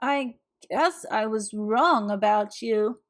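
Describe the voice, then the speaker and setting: A woman voicing a kind, sweet old woman in a children's storybook, with drawn-out, deliberate phrasing. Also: a little high. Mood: sad. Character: kind, plump, sweet old woman, gently cheerful helper.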